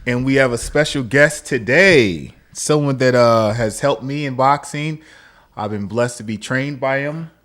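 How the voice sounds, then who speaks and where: A man's voice: medium pitch, measured pace, a bit bright. A man co-hosting a podcast and interviewing the guest, casual and affirming. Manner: affirming, asks guest questions with many "okay" and "yep". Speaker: podcast co-host, boxer.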